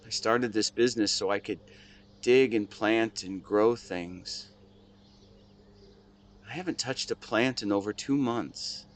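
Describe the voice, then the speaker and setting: Man acting a low-voiced, weary, devoted husband. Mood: weary, dejected. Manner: halting, earnest, intimate delivery. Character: weary, devoted husband and shop owner, tender and grieving. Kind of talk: scripted dramatic monologue addressed to a listener. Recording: clear background ambience with nature sounds.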